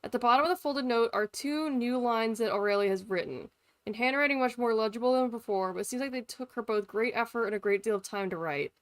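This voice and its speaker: A woman live-streaming a game with casual, expressive and sweary commentary, sometimes reading text aloud. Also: a little high, quick pace. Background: faint game music.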